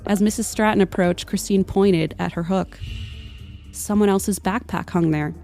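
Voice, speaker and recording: A woman's voice: medium pitch, fairly even intonation, moderate pace, warm tone. A woman narrating a scripted comedic tale in a mock-dramatic, literary manner. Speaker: podcast storyteller. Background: music under the voice.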